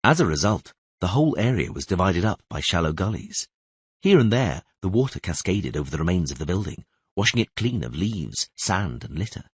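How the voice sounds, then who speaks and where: A man's voice: low voice, very animated, brisk, breathy edge, no room sound. A man reading scripted fantasy narration as an audiobook narrator.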